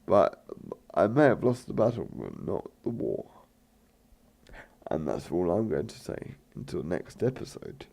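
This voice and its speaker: A man with a croaky, gravelly voice chats loosely over his game footage, stopping and restarting his sentences. His voice is low and dark, and it rises and falls a lot as he rambles. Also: sing-song, a little slow. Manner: hesitant, many fillers and restarts. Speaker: gaming channel host. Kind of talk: rambling let's play commentary.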